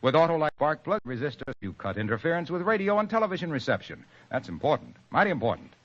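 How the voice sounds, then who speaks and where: A man's voice: fairly high pitch, sing-song, quick pace, a bit bright. An actor playing an exuberant salesman in a 1940s radio commercial, with flowery, emphatic patter. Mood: exuberant, boastful. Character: exuberant, fast-patter spark plug pitchman. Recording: muffled old radio broadcast.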